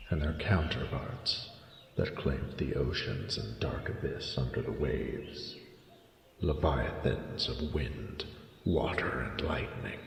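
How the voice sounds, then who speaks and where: A man voicing an ancient, proud but tender dragon who mentors his beloved in slow, formal, commanding speech in a fantasy audio drama. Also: heavily acted. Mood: solemn, grand. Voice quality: deep gravelly, often breathy. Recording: echoey cavernous reverb with ambient noise.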